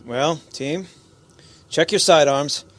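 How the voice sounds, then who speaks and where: A man's voice: on the high side, slow pace, a bit bright, faint music behind. A man playing a cautious spaceship captain in a radio drama, giving clipped, commanding orders. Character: firm, cautious male spaceship captain commanding a landing party. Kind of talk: science fiction radio drama acting.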